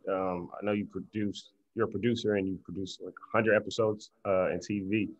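A man in his late thirties asking a guest a question on a video call from a room at home. He is soft-spoken and fills his speech with "like". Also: medium pitch, husky. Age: late 30s. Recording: dull lo-fi video call audio.

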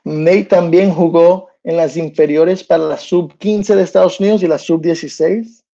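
A man's voice: on the high side, fairly even intonation, normal speed, gravelly, mellow, highs cut off. A man in his thirties from New Jersey, hosting a solo sports podcast in a loose, chatty way and slipping English slang into his talk. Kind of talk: casual solo sports commentary. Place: small room indoors.

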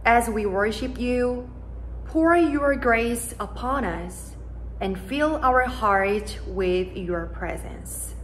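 Woman pastor, young, native Korean speaker, prayer from a pulpit, measured and solemn, slightly high voice. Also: lively intonation, slight background noise, rough recording. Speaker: associate pastor. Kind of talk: leading congregational prayer. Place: church sanctuary, standing at a pulpit.

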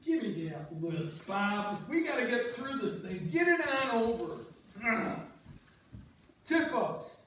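Bossy, teasing fisherman acted on stage, very high voice for a man, slow delivery, folksy. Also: sing-song, fairly bright tone, exaggerated voice. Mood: impatient. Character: Wally, bossy, teasing male ice fisherman. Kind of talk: amateur reader's theater, read from script. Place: on stage in a church, audience seated. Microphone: far camera. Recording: very echoey church, distant camera, faint noise.

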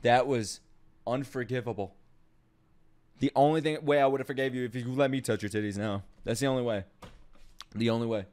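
A young man giving casual, excitable commentary as he reacts to an anime, swearing freely and breaking into shouts and laughter. He talks into a boom-mounted desk mic at home. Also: fairly quick, pauses often. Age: young adult. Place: home streaming room. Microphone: boom-mounted desk mic, wearing headphones.

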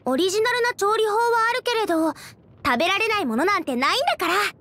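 An extremely high, girlish voice for a fantasy anime game. She is a cheerful teenage cook, eager and bubbly. Her delivery is chatty and very expressive. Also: fast, very noisy. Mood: excited. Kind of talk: animated anime game character acting.

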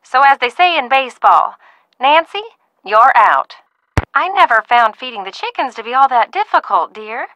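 An actress playing a fussy older aunt who runs a ranch in a video game, genteel and stern, often calling people dear. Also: female voice, on the high side, sing-song, normal speed, sharp tone, dry recording, low-quality recording. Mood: prim scolding. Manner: prim, genteel scolding with frequent "dear".

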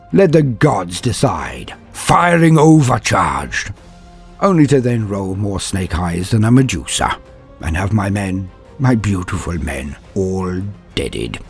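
Husky, low-voiced man narrating theatrically. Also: a little mellow. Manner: theatrical, florid phrasing with drawn-out dramatic emphasis. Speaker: host and narrator of a tabletop wargame lore show. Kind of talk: scripted narration, video essay. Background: background music.

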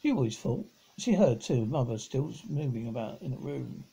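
A middle-aged man reads a children's story aloud, stumbling over words and rereading them, in a husky, gravelly voice. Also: medium pitch, lively intonation, normal speed, dark tone, poor audio. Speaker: amateur audiobook reader. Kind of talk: reading a children's novel aloud. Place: living room at home, on a sofa.

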